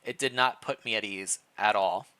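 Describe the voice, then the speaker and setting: A man reads as a young royal heir from a fantasy storybook, weakened but stubborn. The voice is bright and the manner questioning and insistent. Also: on the low side, moderate pace, clean tone. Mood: anxious, questioning. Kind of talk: storybook character reading.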